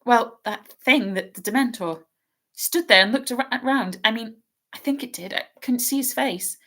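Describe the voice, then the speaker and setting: Woman doing a high, anxious schoolgirl. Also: a bit bright. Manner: rushed, breathless retelling. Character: anxious clever schoolgirl, quick and earnest.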